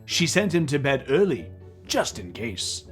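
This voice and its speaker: Animated man reading a children's book. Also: medium pitch, unhurried, faint music behind. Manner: playful, expressive. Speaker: children's book reader and comedy co-host. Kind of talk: animated read-aloud narration with playful banter.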